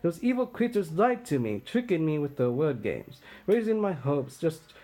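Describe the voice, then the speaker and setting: A doomed tyrant king, acted out by a man, bitter and defiant. He declaims with brooding, combative force in a highish voice that swings widely in pitch, as in a stage tragedy. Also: big pitch swings, normal speed, dark tone.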